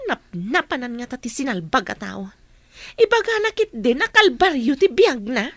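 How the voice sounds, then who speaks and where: An actress plays a bossy, quarrelsome wife with an Ilocano accent. Her voice is very high and sharp, swinging widely as she scolds and demands in radio comedy drama style. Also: big pitch swings, moderate pace, dry recording, full character voice. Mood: scornful.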